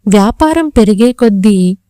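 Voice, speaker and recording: A woman's voice: mid-range voice, animated, moderate pace, over music. A woman narrating a scripted moral story for children, as a storyteller.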